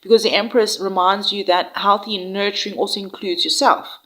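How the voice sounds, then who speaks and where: A woman doing a tarot reading in a gentle, reassuring way, with fillers and restarts, her voice nasal and congested from a flu. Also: mid-range voice, low-quality recording. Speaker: tarot reader.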